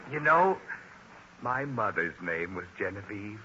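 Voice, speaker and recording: A man's voice: lowish voice, very animated, a little slow. A man playing a lonely, courtly bachelor clerk in a 1940s radio sitcom, coy and full of mournful modesty. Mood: coy, flirting. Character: coy, lonely, self-pitying middle-aged bachelor clerk. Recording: noisy, dull old 1940s radio recording.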